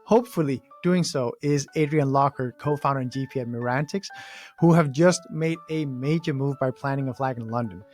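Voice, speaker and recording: A man hosting a podcast, reading a prepared introduction and then asking his guest questions in a deliberate, articulate way. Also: mid-range voice, darkish tone, soft background music. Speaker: podcast host.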